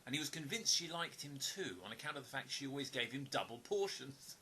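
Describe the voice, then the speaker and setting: An actor playing a polite, wistful writer with gentle wry humour in an audio play, sometimes hesitant. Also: male voice, mid-range voice, lively intonation, fairly quick, a little hiss or hum. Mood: amused, reminiscing.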